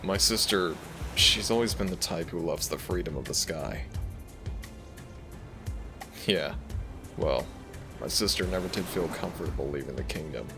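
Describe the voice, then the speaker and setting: Deep, gravelly young dragon prince, acted by a man. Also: moderate pace, pauses often. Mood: fond, reflective. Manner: tender, affectionate, soft-spoken reassurance. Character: gentle young dragon prince, devoted romantic boyfriend. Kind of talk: romantic ASMR audio roleplay, speaking to the listener. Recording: music behind the voice. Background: background music.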